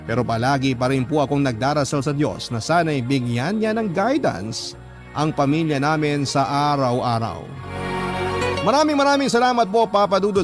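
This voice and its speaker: A man with a Filipino accent reading a listener's letter as a heartfelt, dramatic radio storyteller. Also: fairly high pitch. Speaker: radio show host and narrator.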